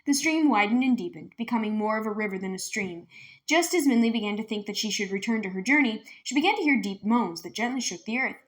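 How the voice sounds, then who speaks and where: A woman's voice: mid-range voice, expressive, quick pace. A woman reading a children's novel aloud as a storyteller, narrating from the page. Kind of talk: scripted children's book narration.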